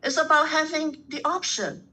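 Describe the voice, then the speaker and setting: A woman in her sixties from Hong Kong speaking in an academic seminar over a video call, stressing her points with rising pitch. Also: moderate pace, sharp tone, poor audio. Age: 60s. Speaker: professor.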